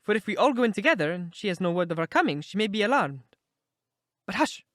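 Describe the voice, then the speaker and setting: A man voicing a frightened, feeble, nervous gentleman in an audiobook story, pleading and panicky. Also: sits high, sing-song, quick pace, no room sound, studio quality, pitched above the performer's own voice. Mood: alarmed.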